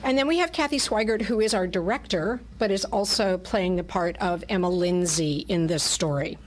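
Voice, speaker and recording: A woman's voice: on the low side, normal speed, slight background noise. A woman speaking in a radio studio as a theater presenter and story narrator.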